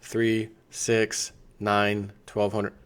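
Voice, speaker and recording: Low-pitched man reading and commenting on news. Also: completely flat delivery, very slow, smooth. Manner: conversational, breaks off to add asides and rhetorical questions. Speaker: host of a financial news video channel. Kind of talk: reading an article aloud with off-the-cuff commentary.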